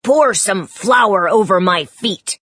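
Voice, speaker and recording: Lady doing a sneaky rough wolf for a kids storybook, slow and deliberate, dry sound. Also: mid-range voice, expressive, fairly bright tone, dry recording, full character voice. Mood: demanding. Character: sly, rough-voiced male wolf posing as a mother. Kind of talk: children's storybook character voice.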